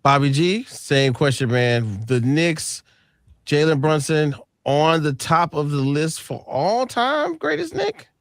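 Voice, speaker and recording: A man's voice: a little high, sing-song, slow, no echo. Male sports host with dry, close sound. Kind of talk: casual panel moderating.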